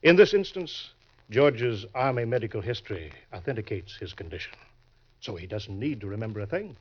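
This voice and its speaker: Suave low-voiced swindler uncle. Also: male voice, sing-song, breathy edge. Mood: sly, assured. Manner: suave, deliberate, euphemistic. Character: smooth, crafty older uncle, a genial swindler. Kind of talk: old-time radio crime drama acting. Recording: dull vintage radio recording.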